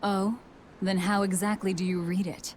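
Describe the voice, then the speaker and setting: A woman's voice: medium pitch, level tone, moderate pace, darkish tone, a little hiss or hum, close to an everyday voice. An actress playing a blunt young woman adventurer in a video game, with a dry, sarcastic delivery. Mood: matter-of-fact. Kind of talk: video game cutscene acting.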